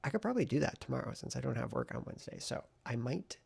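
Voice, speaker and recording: Male vlogger, heavy vocal fry and some rasp, informal and rambling, thinking aloud. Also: mid-range voice, sing-song, quick pace, darkish tone. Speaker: vlogger and hobby novelist. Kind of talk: casual writing vlog chat.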